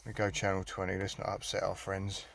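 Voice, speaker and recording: Low-voiced British man, casual and noisy recording. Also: completely flat delivery, a little fast, creaky, fairly bright tone. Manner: informal, colloquial, thinking aloud. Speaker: hobbyist vlogger. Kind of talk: casual hands-on walkthrough. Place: in and around a parked car.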